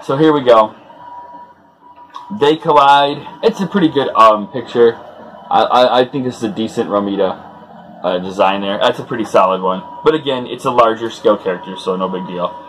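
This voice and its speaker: A man's voice: lowish voice, moderate pace, fairly bright tone, slight background noise, poor audio. A man hosting a comic read-along, retelling the story off the cuff with informal, chuckling fan enthusiasm and loosely acted dialogue. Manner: acts out dialogue loosely in his own voice.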